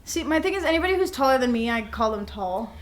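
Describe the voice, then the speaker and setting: A woman's voice: sits high, animated, fast talker. A young adult woman co-hosting a podcast in casual, giggly, bluntly teasing banter with a friend. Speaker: podcast co-host.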